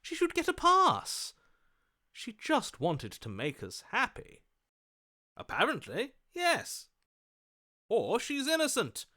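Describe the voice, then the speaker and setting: A man's voice: extremely high pitch, huge pitch swings, takes it slowly, long pauses, sharp tone, full character voice. A man voicing a lovestruck, melodramatic romantic hero in a video game, speaking in a theatrical, florid, overwrought manner. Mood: smitten, pleading. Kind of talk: video game character voice acting.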